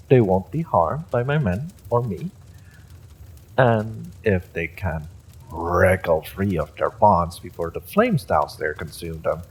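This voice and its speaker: A man playing an evil sorcerer warlord in a fantasy audio roleplay, mocking and menacing with smug courtesy and a theatrical, drawn-out delivery. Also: low-pitched, breathy edge, exaggerated voice, poor audio. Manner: taunting, theatrical, drawn-out delivery with sinister chuckles.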